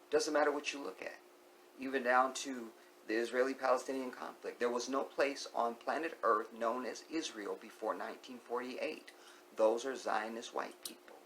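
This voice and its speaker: Man, gravelly voice, emphatic opinion monologue, repeats phrases, drops to whispers, rhetorical questions, faint background hiss. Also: mid-range voice, normal speed, a bit bright, low-quality recording. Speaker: vlogger.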